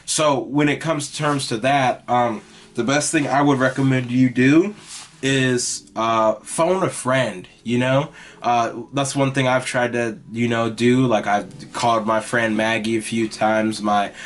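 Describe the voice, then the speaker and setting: A young man hosting his own podcast, talking in a loose, rambling way with lots of "like" and "you know", in a raspy, mostly monotone voice. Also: medium pitch, a little slow. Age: young adult. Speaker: podcast host. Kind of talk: casual rambling monologue.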